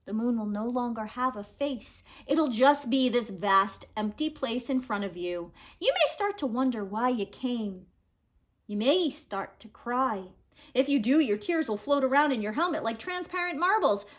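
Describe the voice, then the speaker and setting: A woman in her thirties reading a children's picture book aloud in an expressive storytelling manner, drawing out words. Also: on the high side, normal speed. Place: small home room, indoors.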